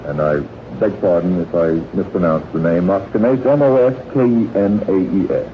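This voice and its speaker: Low-voiced man hosting an old tinny radio quiz. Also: normal speed. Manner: bantering, teasing. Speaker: quiz show host. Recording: tinny, noisy 1940s radio broadcast.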